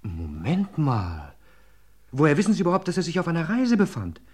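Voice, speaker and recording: An actor playing a stubborn police chief in a vintage radio crime drama, speaking in an insistent and eager way. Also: male voice, a little high, huge pitch swings, normal speed, breathy edge, heavily acted.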